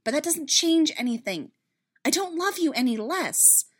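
A woman's voice: fairly high pitch, very animated, unhurried, darkish tone. A woman voicing a loving, firm, earnest woman in an audiobook story, speaking with insistent emphasis.

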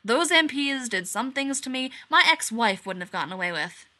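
A woman voicing a gruff, stern male senior agent who speaks in blunt bursts. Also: extremely high pitch, expressive, moderate pace, sharp tone, voice pushed up, heavily acted. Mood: angry.

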